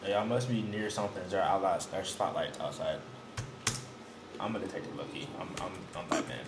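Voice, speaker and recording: A man's voice: sits low, a little fast. Slangy young man in an echoey room. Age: young adult. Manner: loose slangy banter, laughing often. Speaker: podcast co-host. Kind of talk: casual chat. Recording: echoey room with background noise.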